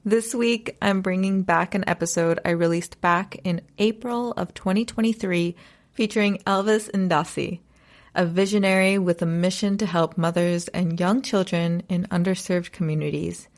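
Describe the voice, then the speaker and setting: A woman's voice: on the low side, fairly even intonation, moderate pace. A young adult woman hosting a podcast, reading a scripted intro and then interviewing a guest in an upbeat, enthusiastic way. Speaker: podcast host.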